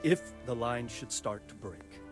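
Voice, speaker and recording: An actor playing a stern, blunt medieval military commander in a game, giving orders with authority. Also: male voice, mid-range voice, lively intonation, unhurried, music in the background, lightly acted. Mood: firm, grave. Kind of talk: dramatic game cutscene acting.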